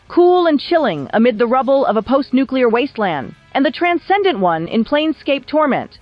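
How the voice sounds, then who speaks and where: A woman narrates a scripted biography in a polished storytelling style. Her voice sits fairly high and moves with lively intonation, with music behind it. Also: no echo, dull audio. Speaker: documentary narrator. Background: background music.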